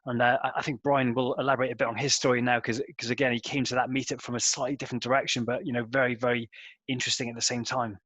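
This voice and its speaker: A man's voice: medium pitch, flat delivery, very fast, fairly bright tone, no room sound. A man in his thirties, a company co-founder, telling his background in a loose, rambling interview answer full of fillers. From Wales. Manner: loose, filler-heavy storytelling.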